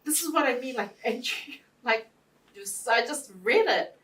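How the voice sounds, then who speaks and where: A woman's voice: a little high, animated, normal speed, fairly bright tone, far from the mic. A woman from New hosting a studio talk, interjecting often and restarting as she builds her points. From New Zealand. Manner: frequent backchannels, restarts when building a point. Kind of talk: studio discussion.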